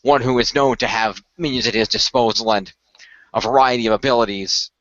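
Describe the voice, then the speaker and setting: A man performing and narrating a semi-improvised radio play in a measured, explanatory way with formal phrasing. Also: mid-range voice, steady tone, fairly bright tone, dry recording. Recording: dry, dull lo-fi microphone.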